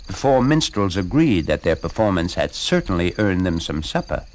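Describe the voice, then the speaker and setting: A man narrating a storybook tale for a shadow puppet show in an expressive way. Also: sits low, moderate pace, slight background noise.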